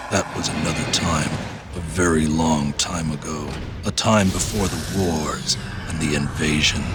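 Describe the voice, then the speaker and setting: An actor playing a bitter, haunted, vengeful ex-soldier turned cyborg racer, narrating a video game story in a grim, brooding, deliberate way. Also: male voice, a bass voice, very noisy.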